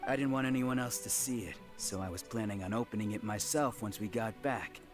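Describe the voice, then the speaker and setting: A man's voice: lowish voice, brisk, music in the background, natural voice. A man doing a cocky bandit boss. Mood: wheedling. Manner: swaggering, self-important. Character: boastful male bandit leader, cocky. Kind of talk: game character dialogue.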